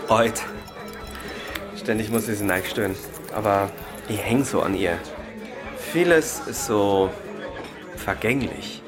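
An actor playing a polite, wistful gentleman in a radio crime drama, halting and musing as he speaks. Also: fairly low pitch, a crowd in the background. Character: wistful, polite gentleman attached to his heirloom. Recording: music playing behind the voice.

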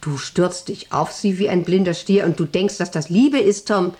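An actress playing a middle-aged, self-important and lonely factory director in a radio drama, rambling intimately and capriciously with a creaky voice. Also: female voice, lowish voice, moderate pace, mellow, natural voice. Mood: bitter, accusing. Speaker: actor in an audio drama. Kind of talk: 1990s German radio drama acting, monologue-like.

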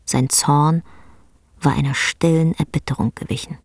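A woman narrating a literary radio drama as a sober, measured storyteller. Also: sits low, fairly even intonation, darkish tone, husky, no echo, studio quality.

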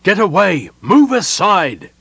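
A man's voice: sits high, sing-song, slow, creaky, a bit bright, husky, no room sound, pitched above the performer's own voice, full character voice. A man voicing a stern barking knight. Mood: commanding. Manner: barking orders firmly. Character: stern male knight on guard, commanding.

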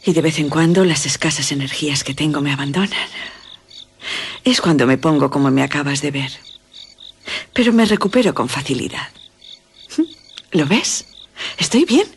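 An actress playing a languid, enigmatic young woman in a radio drama, speaking Castilian Spanish with breathy, passionate tenderness. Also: low voice, animated, moderate pace, pauses often, a little hiss or hum. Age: young adult.

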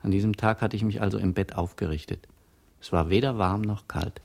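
A man's voice: on the low side, steady tone, brisk, vocal fry, a little mellow. An actor playing a rambling, fussily imprecise man who recounts his ordinary day in a hesitant, self-correcting way in a radio play. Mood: matter-of-fact. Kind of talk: experimental radio play monologue.

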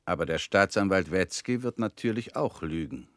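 An actor in a radio drama playing a comrade who asks quiet, probing questions. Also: male voice, low voice, animated, moderate pace, dry recording, clean studio sound.

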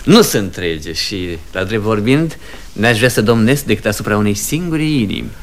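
A man playing a courteous, gallant gentleman in love in a classic Romanian radio comedy, polite and measured, on a noisy vintage recording. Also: on the low side, lively intonation. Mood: gallant, earnest.

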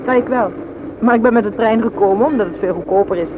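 A woman's voice: a little high, fast, phone-quality sound, lightly acted. An actress playing a forward, businesslike single woman who probes a suitor in a Dutch radio play. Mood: probing, bossy. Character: brisk, bossy, practical single woman sizing up a suitor. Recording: noisy old radio recording.

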